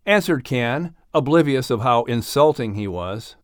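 A man's voice: mid-range voice, lively intonation, unhurried, no echo, studio quality. A man reading scripted storybook narration expressively, as an audiobook narrator and announcer.

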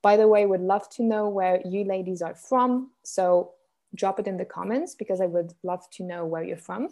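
A mellow-toned young woman from Switzerland hosts a live coaching stream and talks to her viewers in an upbeat, chatty way. She reads their comments aloud as she goes, at an even middle pitch. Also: moderate pace. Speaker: business coach hosting a live challenge.

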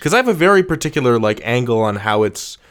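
A man's voice: medium pitch, very animated, fast, fairly bright tone. An American man working as an interviewer, chatting casually in an inquisitive, conversational manner. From United States.

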